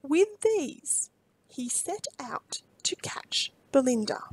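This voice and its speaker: A woman reading a children's storybook aloud expressively, with deliberate phrasing and dramatic emphasis. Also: high-pitched, sing-song, a little mellow, no echo, whispered, poor audio.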